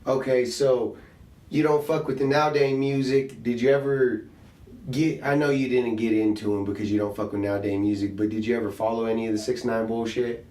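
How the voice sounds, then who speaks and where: A man hosting a relaxed chat, talking in loose slang and thinking his ideas through as he goes. His voice sits in the middle of the range. He sounds off the mic in an echoey room. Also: mid-range voice, a little fast. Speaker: podcast host and rapper. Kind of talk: casual conversation. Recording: roomy sound, mic set at a distance.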